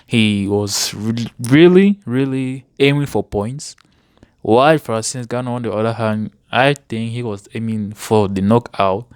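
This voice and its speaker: A man's voice: medium pitch, clean tone, no echo, studio quality. A man, chatting casually about sport as a guest in an interview. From Cameroon.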